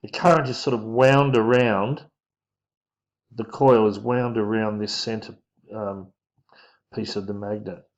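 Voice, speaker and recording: A man giving an informal physics lesson, talking through diagrams in a casual, halting way as he thinks aloud. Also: fairly low pitch, steady tone. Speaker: physics teacher. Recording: dull lo-fi microphone sound.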